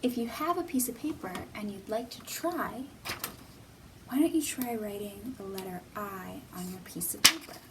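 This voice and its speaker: A woman hosting a children's storytime, speaking in simple, deliberate, teacherly phrases with pauses and questions for young viewers. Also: mid-range voice, distant mic, background noise. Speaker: children's library storytime host.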